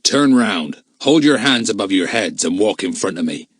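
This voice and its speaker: A man's voice: sits low, big pitch swings, a bit bright, slightly rough, no room sound, studio quality, voice pushed down, heavily acted. Man playing a cold, grim, menacing villain. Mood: cold menace. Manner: slow, deliberate, threatening delivery.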